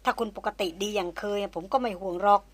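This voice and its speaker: A woman's voice: medium pitch, fast, natural voice. A woman voicing a persistent former army officer who speaks frankly and teases in a storybook reading. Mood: concerned. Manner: teasing, insistent, frank.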